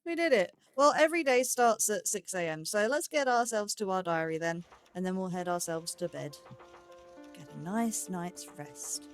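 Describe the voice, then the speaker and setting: A woman's voice: mid-range voice, moderate pace, soft background music. A woman giving casual let's play commentary, musing aloud and questioning herself as she goes. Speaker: host of a gaming let's play series.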